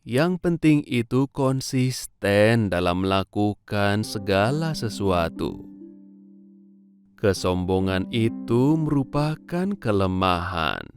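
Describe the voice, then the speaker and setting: A man voicing a calm, patient and wise male tortoise in a dubbed children's cartoon, speaking in a moralizing tone. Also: fairly low pitch. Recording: faint music behind. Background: faint background music.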